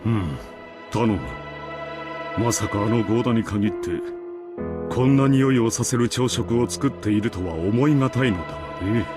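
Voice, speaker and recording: A male actor playing a formal, self-assured middle-aged gentleman in a Japanese visual novel. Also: on the low side, fast talker, music in the background, close to an everyday voice. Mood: pompous doubt. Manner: formal, authoritative.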